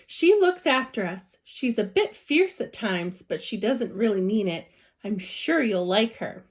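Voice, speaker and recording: A woman's voice: medium pitch, lively intonation, measured pace, natural voice. A woman voicing a friendly, reassuring young girl in a children's storybook.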